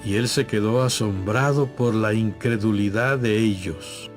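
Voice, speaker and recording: A man narrating a dramatized audio Bible from a script in solemn, clearly phrased storytelling. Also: lowish voice, measured pace, over music.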